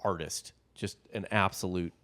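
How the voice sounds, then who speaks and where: A man's voice: low-pitched, steady tone, moderate pace, sharp tone. A man co-hosting a casual comedic history podcast, loose and profane, laughing easily as he tells the story. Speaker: podcast co-host telling the story.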